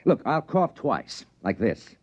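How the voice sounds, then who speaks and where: A man's voice: mid-range voice, big pitch swings, slow pace, slightly rough, no room sound, full character voice. An American actor playing a shrewd, confident, wry trading-post man in a 1950s radio drama. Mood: scheming, wry. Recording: dull vintage radio recording.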